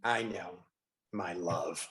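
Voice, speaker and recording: A man's voice: low voice, takes it slowly, fairly bright tone. A man playing a proud, possessive, threatening king in an online play reading. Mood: cold, humiliated.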